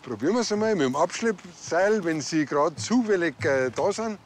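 A man's voice: on the high side, animated, normal speed, a little hiss or hum, natural voice. An actor playing a naive, self-satisfied village policeman, colloquial and musing, with a Bavarian accent.

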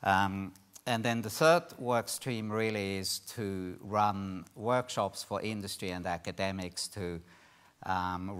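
Deep-voiced Swiss man on a panel. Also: fairly bright tone. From Switzerland. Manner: measured, with frequent ums and uhs. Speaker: panelist, institute director. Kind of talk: panel presentation. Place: conference hall stage.

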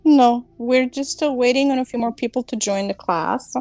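A woman's voice: on the high side, moderate pace, darkish tone, no room sound, soft background music. A woman, hosting an online class over a video call in a casual, giggly way full of fillers. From Germany. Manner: chatty, giggly, many fillers. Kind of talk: casual group call chat.

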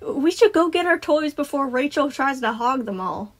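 A woman voicing an eager little girl in a narrated story, excitable and a little hesitant. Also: high voice, normal speed, voice pushed up. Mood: hesitant urging. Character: eager little girl, oldest of her friends.

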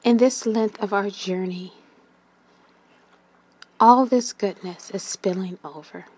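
Slow, soothing woman guiding a meditation. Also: medium pitch. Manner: soothing, gentle, with long pauses and breathy, trailing phrase ends. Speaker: meditation guide. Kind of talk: guided meditation narration.

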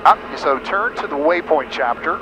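Higher-voiced American male flight instructor, cockpit noise. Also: animated, normal speed. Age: middle-aged. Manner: explanatory, step-by-step. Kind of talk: hands-on instruction. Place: small airplane cockpit in flight. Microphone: aviation headset. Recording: headset audio with engine noise.

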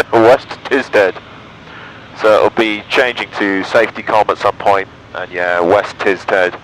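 Male English pilot-vlogger, lowish voice, clipped checklist commentary on a headset with engine drone behind. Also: steady tone, slow pace, a bit bright, low-quality recording. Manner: clipped, procedural phrases with upbeat asides. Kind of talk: in-flight commentary and radio calls. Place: small microlight cockpit in flight. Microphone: aviation headset. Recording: engine noise over aviation headset audio. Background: aircraft engine drone.

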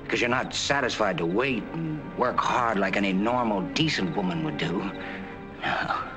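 Actor as a young doctor, bitter, lowish voice, 1940s film soundtrack with music. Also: male voice, animated, normal speed, natural voice. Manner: warm then cutting. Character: young male doctor, earnest then bitter. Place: outdoor park bench at night on a film set.

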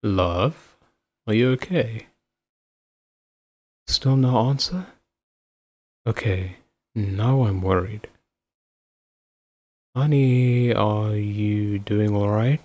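A man playing a devoted, affectionate husband in an intimate audio roleplay, with a husky voice. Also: on the low side, extremely slow, pauses often, a little mellow. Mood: concerned. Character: devoted, affectionate husband, playful then anxiously caring. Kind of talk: intimate ASMR boyfriend-style roleplay acting.

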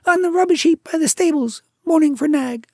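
A woman voicing a feather-brained little male tailorbird who sings and gushes praise in a shrill, sing-song voice. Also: a little fast, dark tone, dry recording, studio quality. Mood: exultant. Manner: exultant, gushing praise. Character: giddy little male tailorbird, boastful singer.

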